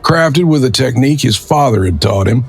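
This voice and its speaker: Casting: male narrator for dramatic crime fiction, raspy tone, animated delivery, faint music behind. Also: medium pitch, normal speed.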